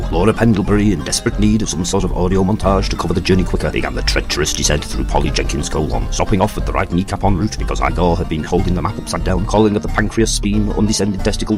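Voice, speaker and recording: A man's voice: low voice, close to an everyday voice. A man playing a pompous, melodramatic narrator of a comic adventure serial, florid and mock-grave. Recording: music behind the voice.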